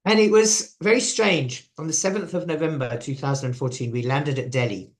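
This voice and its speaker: A man, likely in his forties, giving a reflective personal testimony, laughing softly and often restarting his sentences. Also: fairly high pitch, brisk. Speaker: devotee sharing his story.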